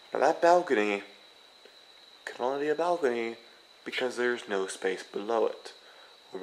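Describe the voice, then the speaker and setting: A young man from Iowa rambling and joking as he vlogs from a hotel room, now and then bursting out loud. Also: fairly low pitch, roomy sound, a little hiss or hum, low-quality recording. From Iowa, United States. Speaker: vlogger. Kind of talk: casual travel vlog.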